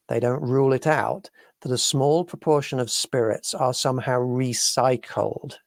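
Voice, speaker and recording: A British man from the UK hosting a talk show, reasoning through ideas in a measured way. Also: medium pitch, darkish tone, no echo, clean studio sound. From United Kingdom. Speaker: podcast host. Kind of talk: casual talk show discussion.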